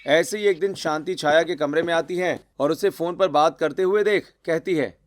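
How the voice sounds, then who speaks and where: A man's voice: on the high side, normal speed. A man reading a scripted story as its narrator.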